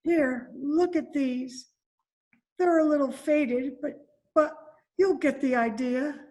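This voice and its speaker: A woman's voice: high voice, slow pace, mellow. A woman playing a faded, despairing former Broadway dancer and mother from Spain in an amateur radio drama, speaking with bitter drama. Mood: wistful, rueful. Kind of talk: amateur old-time radio drama acting. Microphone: video call recording.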